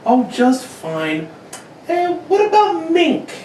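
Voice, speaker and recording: A woman's voice: very high voice, wildly swooping pitch, takes it slowly, warm tone, full character voice. A woman playing a breezy, gossipy housewife and old friend in a radio drama. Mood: cheerful. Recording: roomy, distant mic, faint noise.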